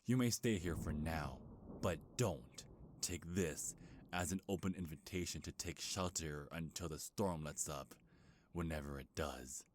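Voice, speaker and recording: Man voicing a very deep, brooding beast king. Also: slight background noise. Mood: gruff, threatening. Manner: brooding, menacing, slow with heavy sighs. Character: ancient, bitter, grieving beast king, half man half wolf. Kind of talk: dramatic ASMR roleplay monologue.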